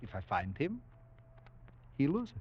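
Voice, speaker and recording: An actor playing a cultured, cold-blooded aristocratic general in a 1940s radio drama, courtly, formal and boastful, with measured relish. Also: male voice, medium pitch, wildly swooping pitch, breathy edge, full character voice. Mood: urbane menace. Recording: dull vintage 1940s radio recording.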